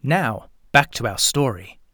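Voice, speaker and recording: A man's voice: medium pitch, animated, unhurried, pushed and bright, dry recording, clean studio sound. A man reading a scripted first-person pulp science fiction story as narrator. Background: faint music.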